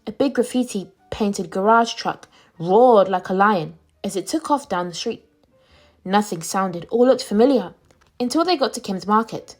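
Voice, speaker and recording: A woman reading a children's storybook aloud as a storyteller, with expressive, clearly phrased narration. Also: mid-range voice, normal speed.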